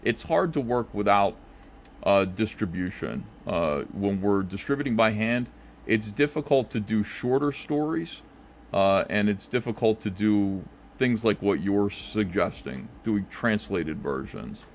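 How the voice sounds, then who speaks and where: Easygoing low-voiced man taking calls. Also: unhurried, a little hiss or hum. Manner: relaxed, thinks aloud with many fillers. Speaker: comic creator answering callers. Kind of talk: casual live call-in chat.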